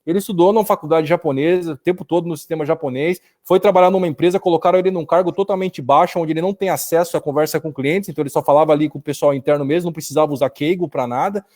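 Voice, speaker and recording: A Brazilian man with a Brazilian Portuguese accent speaking from his office in a casual live discussion, telling stories in an animated way and often calling his listener "cara". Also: on the high side, racing, hardly any pauses. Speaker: business owner and co-host. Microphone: video call.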